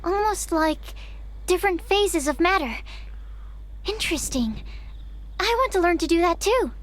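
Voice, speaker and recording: Earnest lil girl game character, super high bouncy voice, sweet and curious. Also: expressive, a little slow. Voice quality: girlish, light voice. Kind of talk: video game voice acting. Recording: game music underneath.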